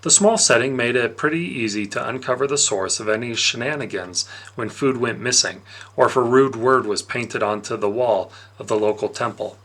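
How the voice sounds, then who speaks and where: A man narrating a story aloud in an expressive, animated storytelling manner. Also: mid-range voice, moderate pace. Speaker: reader of an audiobook.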